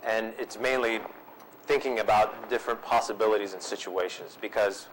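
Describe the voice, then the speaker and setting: A man's voice: on the low side, normal speed, slight background noise, poor audio. A man presenting a workshop and answering audience questions in an easygoing way, repeating himself with frequent ums. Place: seminar room.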